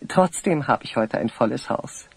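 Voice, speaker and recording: A dignified older parent and host, measured and hospitable. The voice is very hoarse and airy at a middling pitch, in formal audio-play delivery. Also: male voice, expressive, darkish tone, low-quality recording. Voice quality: very husky, breathy. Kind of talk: German fantasy audio play acting.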